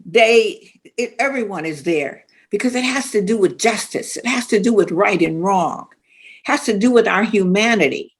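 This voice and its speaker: A woman's voice: mid-range voice, lively intonation, normal speed, husky. A woman speaking earnestly as a panelist, repeating phrases for emphasis.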